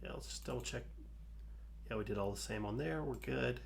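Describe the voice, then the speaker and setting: A man hosting a live coding stream, talking calmly through his code with a soothing voice and many fillers. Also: mid-range voice, gravelly, a little hiss or hum. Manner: slow, calm, talks through code with fillers. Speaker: livestream host.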